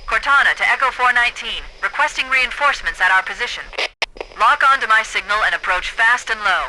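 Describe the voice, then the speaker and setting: A woman's voice: fairly high pitch, brisk, pushed and bright, slight background noise, rough recording. An actress playing a composed, authoritative female military artificial intelligence in a video game, giving clipped commands through a radio filter. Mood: brisk, authoritative. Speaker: AI tactical radio coordinator.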